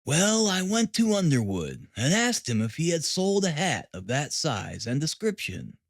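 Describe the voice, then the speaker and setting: A man's voice: a little high, huge pitch swings, unhurried, no echo, studio quality, exaggerated voice. A man voicing a smug, boastful police detective in an audiobook, chatty and emphatic. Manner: self-satisfied, emphatic, chatty.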